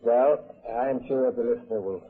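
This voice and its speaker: A man with a very rough, unclear voice conducts an informal interview. He feels his way earnestly through his questions, pausing often. The sound is a thin, tinny vintage radio recording. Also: mid-range voice. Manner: hesitant, searching, earnest.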